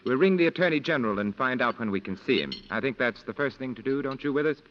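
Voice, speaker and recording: An actor playing a measured, reassuring lawyer and family friend in a 1940s radio drama, formal and assured. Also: male voice, mid-range voice, expressive, natural voice. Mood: grave, matter-of-fact. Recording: muffled, faintly noisy 1940s radio recording.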